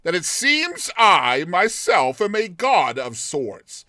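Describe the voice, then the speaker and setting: A man voicing an excitable, boastful male university student in love, gushing and exclaiming in a storybook reading. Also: high voice, slow, no room sound, studio quality, pitched above the performer's own voice, heavily acted. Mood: exuberant, proud.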